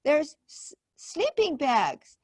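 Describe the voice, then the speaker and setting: A woman voicing a small, patient but worried female mouse in a children's storybook, gently and expressively. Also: sits high, huge pitch swings, slow, no room sound, studio quality, pitched above the performer's own voice, exaggerated voice. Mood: hopeful.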